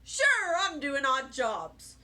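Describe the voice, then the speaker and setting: Woman putting on a hearty, slow-talking old grandpa. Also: sits high, huge pitch swings, pushed and bright, full character voice. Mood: coaxing. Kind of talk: children's storybook read-aloud.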